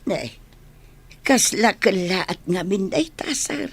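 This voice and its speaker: A woman's voice: medium pitch, expressive, slow pace, breathy edge, full character voice. A woman acting in a radio drama as a hesitant young woman who defers to her boss. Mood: meek, deferential.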